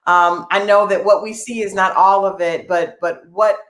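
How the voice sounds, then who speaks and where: A woman's voice: medium pitch, fairly even intonation, normal speed, fairly bright tone. A woman hosting an interview speaks in a mid-range voice from an echoey room over a video call. She asks long, rambling questions and murmurs agreement often. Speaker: interview host.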